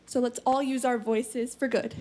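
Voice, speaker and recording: A woman singer introducing her song on stage at a live show, speaking in an earnest, quick, heartfelt way. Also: on the high side, little pitch movement. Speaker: singer in a competing band. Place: live studio event venue. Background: loud band music.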